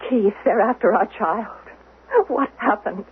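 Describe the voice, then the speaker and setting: An actress playing a panicked, overwrought mother desperate to protect her child in a radio drama, with a husky voice. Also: mid-range voice, measured pace. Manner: frantic, overwrought. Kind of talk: 1970s radio drama acting. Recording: muffled, narrow-band old radio recording.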